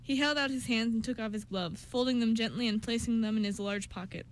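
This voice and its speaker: Female radio drama narrator, somewhat high voice, scripted story reading. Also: brisk, a little hiss or hum, rough recording.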